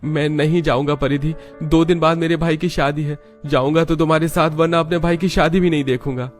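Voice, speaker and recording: A man's voice: on the high side, steady tone, brisk, soft background music, pitched above the performer's own voice, lightly acted. A man voicing an earnest, lovesick young rich man who pleads in a cartoon story. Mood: pleading, lovesick. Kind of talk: animated moral story character voice.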